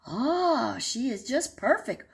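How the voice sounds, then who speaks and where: A woman's voice: on the high side, sing-song, slow pace, creaky, voice pushed up, heavily acted. A woman putting on a sly, greedy storybook wolf. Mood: scheming. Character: greedy scheming male wolf, sly but kind.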